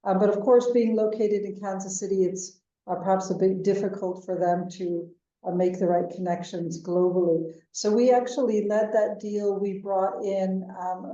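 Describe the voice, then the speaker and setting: An American woman in her fifties, a venture capital executive answering interview questions in a measured way, with many ums and uhs. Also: medium pitch, mellow. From United States. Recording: distant, echoey, dull remote call audio.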